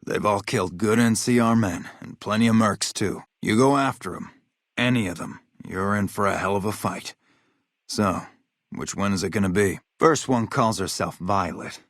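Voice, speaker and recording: An actor playing a hard-bitten, vengeful military officer in a video game, blunt, profane and matter-of-fact. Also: male voice, fairly low pitch, normal speed, dry recording, clean studio sound, natural voice. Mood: stern, businesslike. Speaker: game character, army officer.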